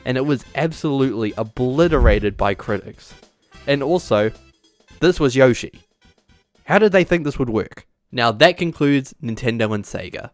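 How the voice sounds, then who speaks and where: A man's voice: medium pitch, animated, moderate pace, dry recording, soft background music. A man presenting an opinionated video essay in a casual way, partly scripted, as the host. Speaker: YouTube host.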